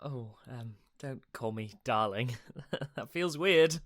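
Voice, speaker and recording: A woman's voice: very deep voice, lively intonation, takes it slowly, heavily acted. A woman playing a wary, awkward person seeking advice in a radio play, hesitant, nervous and sceptical. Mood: nervous, uncomfortable.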